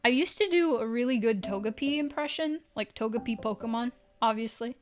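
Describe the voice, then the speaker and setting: A woman's voice: a little high, fairly quick, faint music behind. A woman livestreaming, chatting casually with viewers in a playful, teasing way and swearing now and then.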